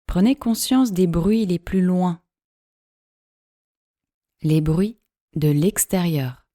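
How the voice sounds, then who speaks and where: A woman teaching yoga nidra, giving calm, measured guided-meditation instructions in a soothing way with long pauses. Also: lowish voice, no room sound, clean studio sound.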